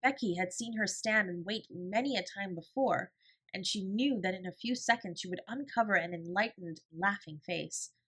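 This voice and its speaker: A woman narrating an audiobook in an expressive storytelling style. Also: mid-range voice, normal speed, breathy edge, low-quality recording.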